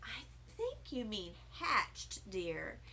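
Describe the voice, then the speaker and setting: A woman's voice: mid-range voice, wildly swooping pitch, very slow, sharp tone, slight background noise, pitched below the performer's own voice, exaggerated voice. A woman reading a children's audiobook as a weary old grandmother who is mildly annoyed, dry and resigned. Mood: gently correcting. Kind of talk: children's audiobook read-aloud.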